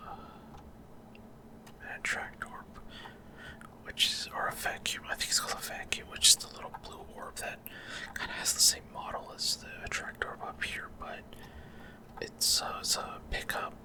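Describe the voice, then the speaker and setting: A man's voice: moderate pace, long pauses. A man doing ASMR gameplay commentary in a rambling, hesitant way, often trailing off mid-thought. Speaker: gaming ASMR creator.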